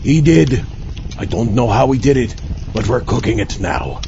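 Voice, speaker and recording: A man playing a gruff, jolly, greedy pirate captain in a comedy audio drama, talking in rolling pirate speech. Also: medium pitch, lively intonation, normal speed, highs cut off, background noise, lightly acted. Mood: blustery, jovial. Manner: pirate talk, rolling and blustery.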